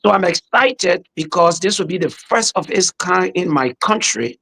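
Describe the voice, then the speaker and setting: Earnest Liberian-accented minister, slightly high voice. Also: male voice, lively intonation, normal speed, gravelly, pushed and bright, breathy edge. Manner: earnest, patriotic, repeats words as he talks. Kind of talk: casual podcast discussion.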